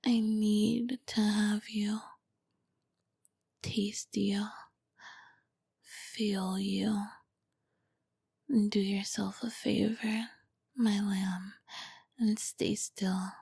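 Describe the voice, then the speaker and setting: Casting: female performer as charming, dangerous royal vampire queen for ASMR roleplay; breathy whispered delivery, unhurried, courtly and flirtatious. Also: medium pitch, level tone, dark tone, no echo, clean studio sound, heavily acted. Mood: hungry, menacing. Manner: slow, formal, flirtatious phrasing. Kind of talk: ASMR roleplay, scripted and intimate.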